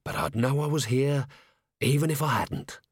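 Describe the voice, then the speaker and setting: A man voicing a gruff middle-aged male watch commander who talks in a brisk, sardonic way. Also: medium pitch, no echo, studio quality, lightly acted. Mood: wry.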